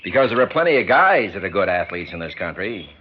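A paunchy male sports promoter pitches hard in a 1960s radio drama. His voice is fairly low and very animated, slangy and persistent. The old recording is muffled, with faint noise. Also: fast talker. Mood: persuasive. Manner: slangy, persistent salesman's patter. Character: paunchy, cigar-smoking male sports promoter, fast-talking and persuasive.

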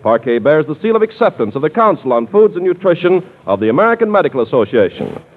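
A polished, cheery 1940s radio announcer's read. His voice runs a little high with lively rises and falls, through a narrow, tinny vintage recording. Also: quick pace, slightly rough. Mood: upbeat, polished. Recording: tinny, band-limited 1940s radio broadcast.

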